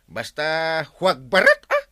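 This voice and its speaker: Wildly swooping comic village captain. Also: male voice, on the high side, huge pitch swings, a little slow. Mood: blustering. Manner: exclamatory, exaggerated comic delivery. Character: village captain, brash, excitable comic man. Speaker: radio drama actor. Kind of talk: Ilocano comedy radio drama acting.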